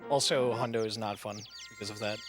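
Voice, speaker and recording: Male gaming co-commentator over clear music. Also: on the low side, steady tone, brisk. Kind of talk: casual live gameplay commentary. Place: live gaming marathon event. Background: game music.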